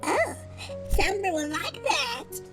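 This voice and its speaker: A man voicing a shy, eager little pony colt who talks in a halting, naive, childlike way. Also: very high voice, very animated, music in the background, exaggerated voice. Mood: timid, hopeful.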